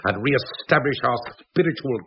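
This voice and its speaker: An actor with a British accent playing a jovial, opinionated intellectual who pontificates in a radio drama. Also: male voice, medium pitch, normal speed, lightly acted. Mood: pontificating. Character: jovial, opinionated English intellectual, pleased with his theories. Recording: dull, noisy old radio recording.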